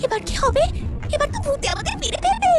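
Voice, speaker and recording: A woman voicing a frightened, wary village child in an animated horror cartoon, anxious and expressive. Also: very high voice, fast. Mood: terrified. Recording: music behind the voice. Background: music.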